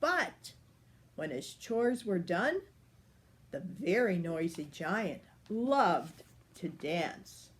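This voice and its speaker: An older woman reading a picture book aloud for children in an expressive, unhurried way. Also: lowish voice. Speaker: storyteller.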